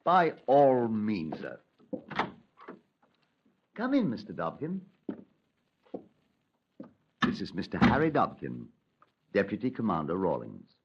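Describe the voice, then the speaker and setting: A low-voiced, formal detective inspector who is courteous but unrelenting. His speech rises and falls in a lively way. He is heard on a dull old radio recording from a 1950s drama. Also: slow, pauses often, noisy background, exaggerated voice. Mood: polite. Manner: formal and courteous, calling others sir. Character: polite, persistent male police detective inspector.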